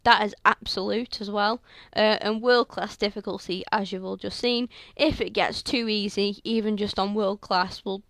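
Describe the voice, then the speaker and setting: A young woman with a sore, croaky throat, chatting through video game commentary on a headset mic in a rambling way full of fillers. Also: mid-range voice, steady tone, moderate pace, few pauses, a bit bright, dry recording. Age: young adult. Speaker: gaming channel host. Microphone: headset microphone.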